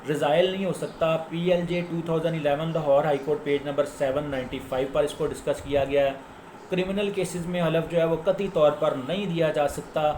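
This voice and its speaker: A man's voice: on the high side, a little mellow. Echoey recording of a Pakistani man lecturing. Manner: didactic, repeats key points for emphasis. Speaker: lawyer giving a legal lecture. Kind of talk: informal explanatory lecture citing case law. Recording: echoey room with faint background noise.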